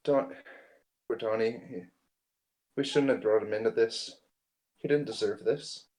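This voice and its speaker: A man's voice: fairly low pitch, unhurried, a little mellow, close to an everyday voice, poor audio. A man voicing an upset, frightened fugitive woman in a tabletop game, hesitant and guarded. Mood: tearful, guilty.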